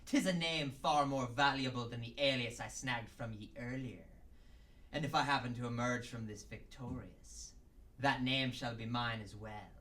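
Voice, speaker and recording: An actor playing a crooked, mocking, hot-tempered pirate captain in a radio drama, speaking in thick stage-pirate dialect. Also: male voice, mid-range voice, normal speed, a bit bright, recorded from a distance, lightly acted. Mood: sly, taunting.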